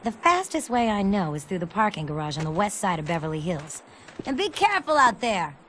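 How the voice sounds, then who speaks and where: Wry, animated streetwise young woman. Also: medium pitch, fairly quick, slight background noise. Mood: helpful. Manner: wry, casual. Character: streetwise, helpful young woman skater friend. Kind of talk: video game cutscene acting.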